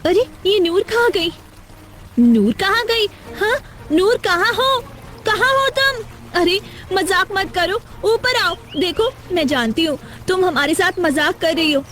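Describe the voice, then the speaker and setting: Lady doing super high girly cartoon voice, young village woman, anxious but stubborn, dramatic, music behind. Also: lively intonation, measured pace, fairly bright tone, heavily acted. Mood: panicked pleading. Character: anxious, determined young village women, loyal friends.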